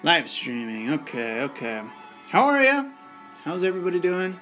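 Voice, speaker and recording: A man's voice: a little high, wildly swooping pitch, moderate pace, bright, forceful tone, faint music behind. A young man hosting a live bartending stream, chatting casually and laid-back with viewers. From United States. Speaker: bartending channel host.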